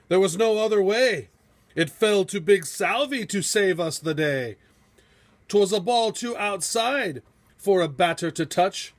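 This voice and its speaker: A man reading a rhyming storybook aloud in a theatrical, measured, epic storytelling manner. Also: high-pitched. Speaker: storybook reader. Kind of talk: dramatic rhyming storybook narration.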